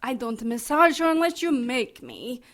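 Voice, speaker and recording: A woman's voice: sits high, sing-song, normal speed, a bit bright. A woman playing a cynical, callous nun in an audio drama, with a blunt, sarcastic and grumbling manner. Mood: sardonic.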